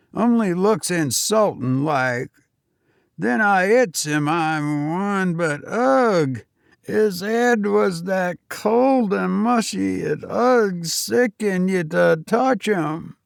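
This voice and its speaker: A man voicing a nervous, deferential young Cockney servant with rough working-class speech. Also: sits high, animated, slow pace, no echo, clean studio sound, pitched above the performer's own voice, full character voice. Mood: indignant.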